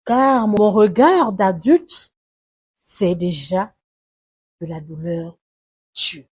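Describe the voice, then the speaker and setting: A woman recites a poem dramatically, in a solemn, mournful and deliberate way, swelling and hushing her phrases. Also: medium pitch, slightly rough. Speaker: poetry reciter. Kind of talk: dramatic spoken-word poetry recitation.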